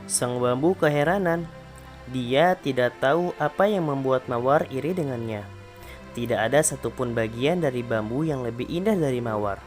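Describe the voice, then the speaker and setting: A male primary school teacher reading a children's fable aloud in a calm, clear voice for a remote class. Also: medium pitch, moderate pace, soft background music. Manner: calm, clear storybook narration.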